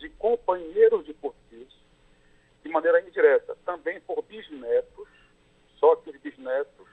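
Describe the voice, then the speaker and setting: A Brazilian man, a lawyer, giving measured explanations in an interview over a phone line, with fillers as he works through each point. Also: fairly high pitch, husky.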